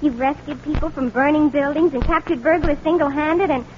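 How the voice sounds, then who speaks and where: Affected, dramatic girl of seventeen played by a woman, high voice, faintly noisy vintage radio drama. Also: fairly even intonation, fairly quick, lightly acted. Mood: coy, admiring. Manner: affected, emphatic, coy. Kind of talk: 1940s radio drama acting. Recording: dull noisy 1940s radio recording.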